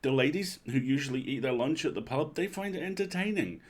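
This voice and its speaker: A man reading a bedtime creepypasta as a weary, uneasy townsperson, measured and confiding. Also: mid-range voice, animated, pitched above the performer's own voice, lightly acted. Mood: exasperated, uneasy. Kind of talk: dramatic bedtime story reading.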